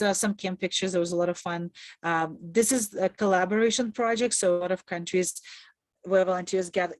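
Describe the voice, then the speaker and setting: A woman's voice: fairly low pitch, no room sound. A woman from Kazakhstan, a native Russian speaker, giving an upbeat, conversational information session as a returned volunteer over a video call. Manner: conversational, upbeat, laughs often. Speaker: Peace Corps presenter and returned volunteer. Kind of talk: informational presentation.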